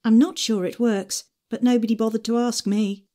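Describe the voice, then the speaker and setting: A woman in her forties narrating audiobooks in a clear, expressive way, shifting tone with each story. Her voice sits a little high, recorded close on a studio mic. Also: moderate pace.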